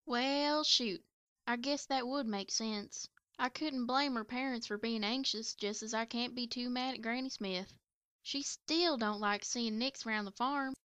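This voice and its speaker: Woman playing a high-voiced folksy farm pony. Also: measured pace, a bit bright, clear, resonant tone, no room sound. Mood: frank. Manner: plainspoken, rustic phrasing. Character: folksy, easygoing young female farm pony. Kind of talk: amateur audio drama.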